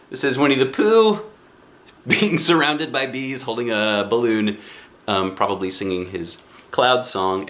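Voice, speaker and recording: A man's voice: fairly low pitch, fairly bright tone. A man reading a children's book aloud as narrator. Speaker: storybook reader.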